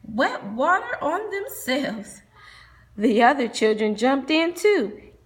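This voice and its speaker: A woman narrates a children's storybook like a teacher reading to a class. Her voice sits a little high and moves through wide swings of pitch. She takes the sentences slowly. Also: very animated, noisy background.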